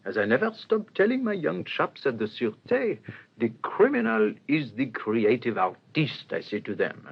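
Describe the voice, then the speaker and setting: An actor playing a shrewd, self-assured police detective in a radio crime drama, with a theatrical delivery. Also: male voice, mid-range voice, sing-song, moderate pace, slightly rough. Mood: musing, self-assured. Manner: theatrical, musing then demanding. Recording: dull old radio recording, faint hiss.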